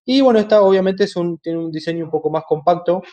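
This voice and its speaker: A middle-aged man with an Argentine Spanish accent explaining products in a webinar, in a halting, explanatory way. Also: high-pitched, dark tone. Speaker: webinar presenter. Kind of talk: product presentation over slides.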